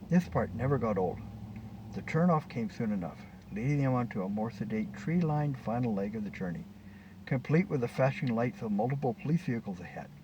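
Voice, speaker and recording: Male author-narrator for short fiction. Animated intonation, slightly distant, dull recording with faint noise. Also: medium pitch, a little fast. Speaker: author reading own short story. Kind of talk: scripted story narration.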